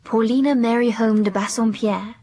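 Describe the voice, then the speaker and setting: Wilful young english lady, highish voice, precise n self-assured, period drama. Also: fairly even intonation, moderate pace, dark tone. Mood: earnest. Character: poised, wilful, doted-on young English gentlewoman. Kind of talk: period radio drama acting.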